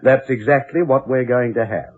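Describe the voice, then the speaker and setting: An actor playing a bold, excitable husband in an old radio drama, speaking in exclamatory, polite old-fashioned phrases on a narrow-band recording. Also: male voice, mid-range voice, normal speed, breathy edge, lightly acted. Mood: excited. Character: excitable, bold young husband confronting a ghost.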